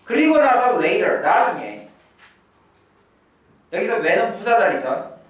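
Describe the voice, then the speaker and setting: Lively male teacher in an echoey room. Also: takes it slowly. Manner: lively, emphatic, drops to a low murmur for asides. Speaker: English teacher. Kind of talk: exam-prep lecture to high school students. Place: academy classroom. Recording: echoey classroom from a distance.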